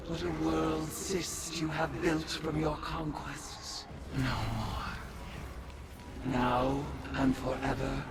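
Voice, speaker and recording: Very slow, commanding dark god. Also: male voice, medium pitch. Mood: wrathful. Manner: very slow, grand, incantatory. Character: vengeful, commanding dark god casting banishment. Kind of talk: theatrical game ritual speech. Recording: loud music behind the voice.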